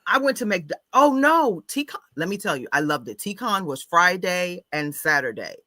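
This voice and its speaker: Woman, livestream co-host, bright crisp voice, dry close sound, chatty and upbeat. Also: on the low side, big pitch swings, moderate pace, no room sound. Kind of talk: casual livestream chat.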